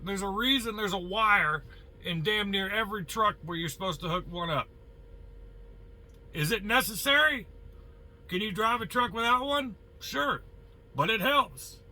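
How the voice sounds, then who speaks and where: A man's voice: high voice, expressive, measured pace, long pauses, bright, forceful tone. An American man, a truck driver, talking casually and bluntly with a folksy, opinionated manner, tossing in rhetorical questions and mild swearing. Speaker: truck driver giving advice. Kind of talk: casual opinionated monologue to viewers.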